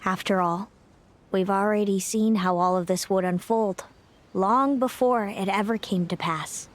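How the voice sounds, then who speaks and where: A woman voicing a composed, sorrowful young woman in a video game, speaking in a solemn, gentle and reflective way. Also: medium pitch, measured pace, a little hiss or hum, lightly acted. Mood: solemn, resigned. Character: Selena, composed, sorrowful long-lived sister, a young woman.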